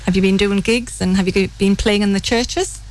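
A woman's voice: mid-range voice, steady tone, fairly quick, a little hiss or hum, rough recording. A woman hosting a casual interview, chatty and enthusiastic, often restarting her questions. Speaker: radio host.

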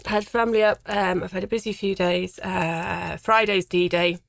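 Gravelly woman, wryly chatting. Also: on the low side, steady tone, moderate pace, no echo. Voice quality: slightly croaky. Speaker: radio co-host. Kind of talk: casual chat. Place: radio studio.

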